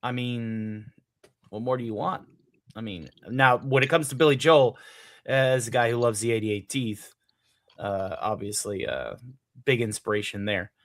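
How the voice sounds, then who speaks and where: A man's voice: medium pitch, unhurried, fairly bright tone, no echo. A man co-hosting a casual music podcast, wry and self-mocking, hesitating often. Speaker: podcast co-host. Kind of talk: casual chat about music.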